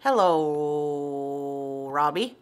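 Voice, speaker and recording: A woman's voice: sits low, drawn-out words, a little hiss or hum. A young adult woman chatting playfully and casually with a small child, coaxing and prompting with simple questions like a mother.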